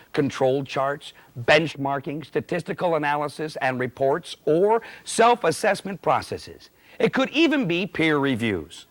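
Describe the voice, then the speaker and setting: A man acting as a plain-spoken, emphatic presenter, giving scripted instruction in a corporate training film. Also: fairly high pitch. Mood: emphatic, earnest.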